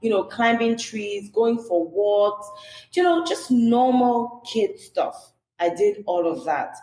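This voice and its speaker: A woman's voice: medium pitch, expressive, slow pace, dark tone. A young Nigerian woman with a Nigerian accent, chatting as a podcast guest, full of "you know" fillers. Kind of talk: casual interview chat. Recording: echoey room with background noise.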